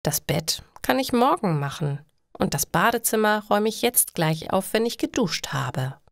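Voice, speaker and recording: A woman's voice: mid-range voice, expressive, moderate pace, vocal fry, no echo, clean studio sound. A woman voicing a chatty woman who frets over her household chores in a story. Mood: friendly, chatty.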